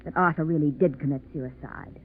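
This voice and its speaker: A woman's voice: deep voice, brisk, close to an everyday voice. A cool, greedy femme fatale played by a woman in a 1940s radio mystery. She is blithely mocking and calculating. The old recording is very narrow and muffled, with some hiss.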